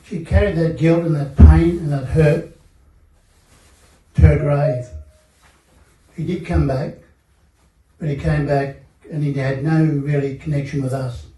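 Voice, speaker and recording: An older Australian man speaking as a panel guest at a seminar, telling his life story in a measured, reflective way with many pauses and fillers. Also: on the high side, steady tone, mellow. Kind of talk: personal testimony at a seminar panel. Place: seminar hall. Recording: echoey room, mic at a distance.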